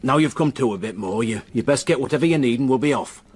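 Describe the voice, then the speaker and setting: Husky, gruff countryman, played by an actor. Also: mid-range voice, fast, darkish tone, close to an everyday voice. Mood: firm, wary. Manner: plain-spoken, firm, dialect turns of phrase. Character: gruff, kindly rural man rescuing a younger visitor. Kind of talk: radio drama acting.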